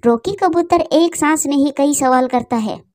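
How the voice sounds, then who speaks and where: A woman's voice: high voice, moderate pace, darkish tone, no room sound. A woman reading scripted narration for a cartoon story as its narrator.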